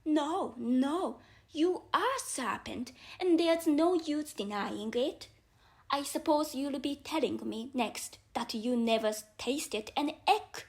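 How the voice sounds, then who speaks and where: A shrill storybook pigeon, acted out by a woman reader, high and indignant. She scolds in slow, exclamatory bursts, full of fretful contempt. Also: lively intonation, exaggerated voice. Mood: contemptuous. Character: fretful, indignant, contemptuous female pigeon guarding her eggs. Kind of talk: storybook character voice.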